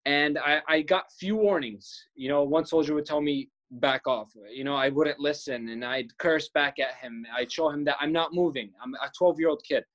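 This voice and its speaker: A man's voice: a little high, normal speed, few pauses, a bit bright, rough recording. A young man from Palestine, a filmmaker guest on a podcast, telling his story earnestly and often restarting his words.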